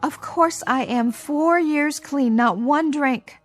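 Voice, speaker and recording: Frazzled, high-voiced exhausted club dancer. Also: female voice, slow, no echo, faint music behind. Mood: weary. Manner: fretful, protesting. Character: exhausted, anxious female club dancer, headliner. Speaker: actress. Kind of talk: radio drama acting.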